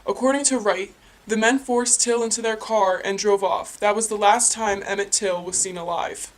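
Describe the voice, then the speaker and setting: A woman's voice: mid-range voice, steady tone, moderate pace, poor audio. A woman reading a scripted news report as an anchor. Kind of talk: scripted newscast narration.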